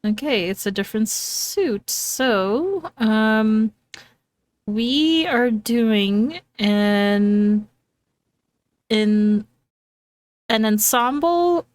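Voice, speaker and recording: A woman's voice: a little high, lively intonation, very slow, pauses often, clear, resonant tone, no room sound. A woman playing a tabletop role-playing game, casually thinking aloud and asking questions to set up the scene.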